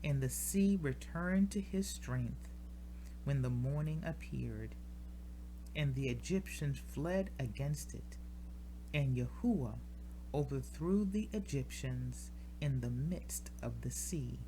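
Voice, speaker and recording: A woman reads scripture aloud in a solemn, measured style, pausing deliberately between short phrases. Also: extremely low pitch, expressive, noisy background. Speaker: scripture reader. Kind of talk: solemn scripture reading from the King James Bible.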